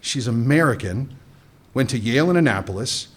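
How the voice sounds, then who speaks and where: A man playing a smuggler boss in a radio play, speaking tersely with a husky voice. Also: mid-range voice, lively intonation, normal speed. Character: smuggler boss Jonathan, tough but shaken.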